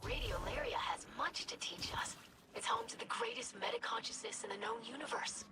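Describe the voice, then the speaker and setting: Earnest young alien scholar. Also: female voice, sits high, very animated, brisk, in a whisper. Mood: curious, earnest. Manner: polite, formal, precise wording. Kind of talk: video game character acting. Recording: loud game music behind.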